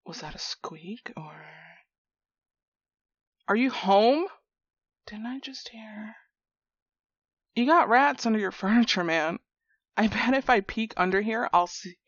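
Woman acting as hot-headed yet loyal young female friend, audio drama, profane slang, husky breathy voice, slightly muffled home recording. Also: mid-range voice, lively intonation, takes it slowly, pauses often, dry recording. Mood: muttering, suspicious. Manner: slangy, profane, talking directly to a buddy. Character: foul-mouthed, hot-tempered but protective young friend, finding shrunken buddy. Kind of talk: immersive one-sided audio roleplay drama.

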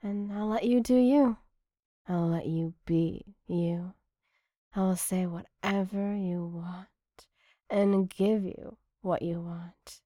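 A woman speaks in a slow, mellow voice, seductive and intimate, as in adult ASMR. She murmurs close to the mic and often slips into a breathy whisper. The sound is very dry, with no room around it. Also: mid-range voice, dry recording. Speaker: erotic audio performer. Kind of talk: erotic ASMR audio addressed to the listener.

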